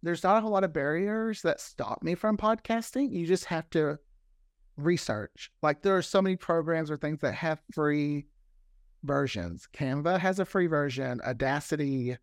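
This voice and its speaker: A man's voice: a little high, normal speed, no room sound, studio quality. A talkative man chatting casually as an interview guest, often repeating words for emphasis. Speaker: interview guest, fiction podcast creator.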